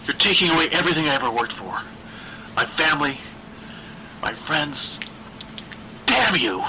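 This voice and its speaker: A man's voice: on the high side, animated, normal speed, sharp tone, slight background noise, pitched above the performer's own voice, heavily acted, low-quality recording. A man voicing a bitter, despairing suburban mother, weak and dying, in a strained, anguished way for a horror story. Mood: anguished rage.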